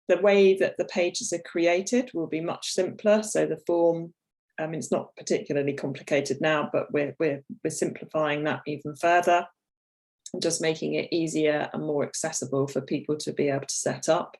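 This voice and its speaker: A woman with a croaky voice, hosting a webinar over a video call, chatty and full of ums, clearing her throat now and then. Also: low voice, steady tone, moderate pace, a little mellow. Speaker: webinar host. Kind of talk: presenting a product webinar.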